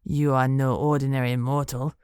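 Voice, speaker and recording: A woman voicing a cruel male werewolf captor who talks in a low, purring, menacing way. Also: little pitch movement, moderate pace, a little mellow, clear, resonant tone, dry recording, studio quality.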